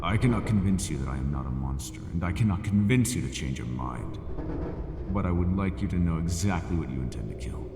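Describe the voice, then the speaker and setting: A man playing a gravelly ancient bull monster. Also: music in the background. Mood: persuasive. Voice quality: deep gravelly voice. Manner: slow, measured, softly menacing. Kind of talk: ASMR roleplay. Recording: echoey cavernous reverb with steady noise.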